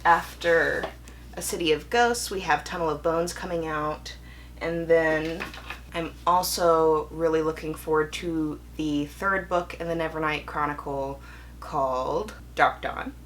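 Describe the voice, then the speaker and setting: Lower-pitched young woman, chatty book vlogger. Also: steady tone, a little slow, slight background noise. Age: young adult. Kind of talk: casual chatty video to viewers.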